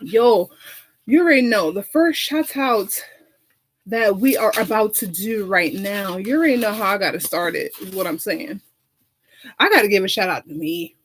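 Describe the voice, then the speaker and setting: A woman's voice: mid-range voice, moderate pace. A woman hosting her own show in a sassy, flamboyant way, with dramatic exclamations, sighs and self-hyping slang. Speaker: show host. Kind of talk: sassy casual chat with shout-outs and ad reads.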